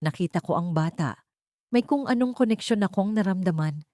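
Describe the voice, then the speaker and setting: A woman's voice: medium pitch, animated, normal speed, mellow, no room sound, close to an everyday voice. Wounded rich heir, cold and bitter, played by a female narrator, audio drama, dry sound. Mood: confused, quiet. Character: wounded, suspicious rich young man in wheelchair.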